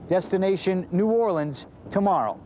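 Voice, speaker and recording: A man's voice: sits high, lively intonation, normal speed, mellow, slight background noise, rough recording. A male television news reporter narrating a travel report. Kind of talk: reporter narration and field chat.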